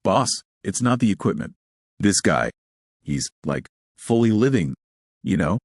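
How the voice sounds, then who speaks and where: A man's voice: lowish voice, big pitch swings, a little slow, no echo, studio quality, exaggerated voice. A man voicing a jumpy, chatty male technician who explains things casually in an audio story. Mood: casual, musing.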